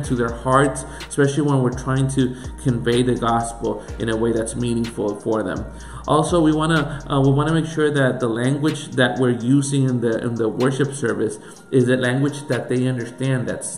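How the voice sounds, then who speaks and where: A man with a Puerto Rican accent, a pastor giving an earnest teaching talk that runs through a series of questions. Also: mid-range voice. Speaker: pastor and church planter. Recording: echoey room with faint music.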